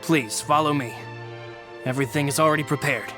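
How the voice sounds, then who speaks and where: A man acting a loyal, reassuring aide in a video game, with a measured, polite delivery. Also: on the high side, over music, lightly acted. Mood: calm, reassuring.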